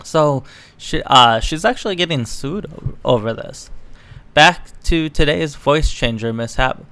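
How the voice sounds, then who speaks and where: A man's voice: a little high, animated, a little slow, pushed and bright, clean tone, dry recording. A man reading news articles aloud and adding casual, sarcastic, opinionated commentary as an online political commentator. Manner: sarcastic, mocking.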